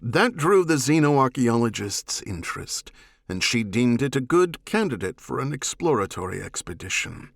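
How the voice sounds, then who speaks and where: A man voicing a cool, evasive alien oath-bound hunter with a measured, formal manner in a science fiction audiobook. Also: medium pitch, sing-song, vocal fry, no room sound, clean studio sound. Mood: explanatory.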